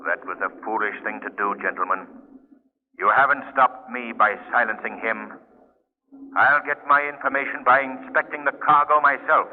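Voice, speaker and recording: Man acting menacing phantom crime-fighter, deliberate, commanding, long reverb, muffled 1940s radio drama. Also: mid-range voice, natural voice. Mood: commanding, insistent. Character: mysterious invisible avenger, commanding and menacing.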